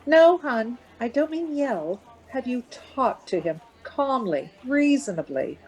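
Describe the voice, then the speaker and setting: A woman's voice: on the high side, sing-song, slow pace, darkish tone, poor audio. A woman playing a weary, anxious but reasonable middle-aged mother in conversation in a radio play. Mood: anxious, patient.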